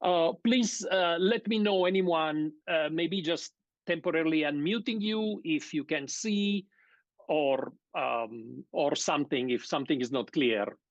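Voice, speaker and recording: A middle-aged man from Sardinia with an Italian accent, giving an academic seminar over a video call in a hesitant, measured way. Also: fairly high pitch, dry recording. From Sardinia, Italy. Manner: measured, hesitant, many fillers and restarts. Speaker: guest lecturer. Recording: dull, dry video-call audio.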